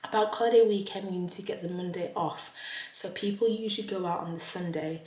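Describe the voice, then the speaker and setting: A woman, chatting in a casual vlog while doing her makeup. She rambles with lots of fillers and gets animated when she talks about something that surprised her. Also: lowish voice, a little fast, reverberant. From Leeds, northern England. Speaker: vlogger.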